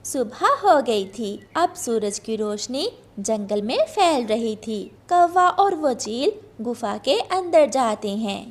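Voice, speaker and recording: A woman's voice: a little high, expressive, slow pace, a little mellow. A woman reading scripted narration for a children's cartoon story.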